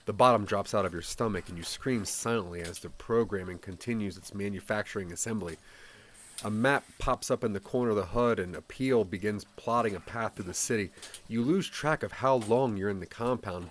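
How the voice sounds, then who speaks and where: A man's voice: lowish voice, a little fast, slight background noise. A man narrating a scripted second-person audio drama in a dramatic storytelling delivery. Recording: music under the voice. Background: background music.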